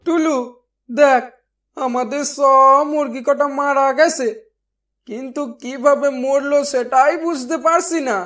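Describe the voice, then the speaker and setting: A woman's voice: high voice, steady tone, unhurried, fairly bright tone. A woman voicing a worried but firm village parent in a Bengali cartoon, questioning and admonishing. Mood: puzzled.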